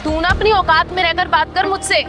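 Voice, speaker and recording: A woman's voice: very high-pitched, steady tone, fairly quick, over music. A young woman playing an arrogant, argumentative customer in a short moral drama. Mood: haughty. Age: young adult. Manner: haughty, argumentative. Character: arrogant, haggling, rude young female customer.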